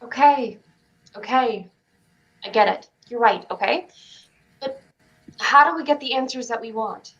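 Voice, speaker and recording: Casting: woman as hot-headed, suspicious kid in a stage play, fairly high voice, defiant. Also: a little slow, long pauses, a bit bright, highs cut off. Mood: agitated, grudging. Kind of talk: staged reading of a play over video stream.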